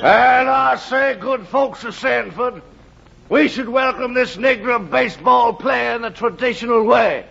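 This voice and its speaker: An actor playing a bigoted Southern town demagogue, shouting a fiery speech in a 1940s radio drama. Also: male voice, extremely high pitch, a little slow, gravelly, slightly rough, soft background music, full character voice. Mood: rabble-rousing. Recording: dull noisy 1940s radio recording, crowd.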